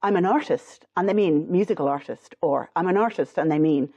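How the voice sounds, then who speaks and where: A middle-aged Irish woman with a low alto voice, chatting as an interview guest in quick, self-deprecating bursts. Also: dark tone, breathy edge, dull audio. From Ireland. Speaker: singer-songwriter guest. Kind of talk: casual interview chat.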